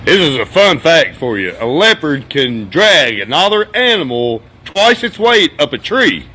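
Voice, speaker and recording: Male comic fact presenter, slightly high, bright and very animated in pitch. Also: measured pace. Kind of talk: comic fun-fact bit.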